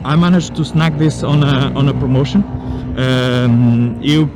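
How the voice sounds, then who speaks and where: A man's voice: medium pitch, unhurried, over music. A man with a Portuguese accent gives a casual spoken review, rambling and searching for words, as a motorcycle vlogger would. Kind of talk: casual spoken product review while riding. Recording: loud engine and road noise.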